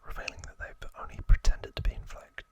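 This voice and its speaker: A man whispering an ASMR recap in a breathy, unvoiced voice, explaining things in a hesitant, self-correcting way. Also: a little fast. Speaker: host of an ASMR trivia channel.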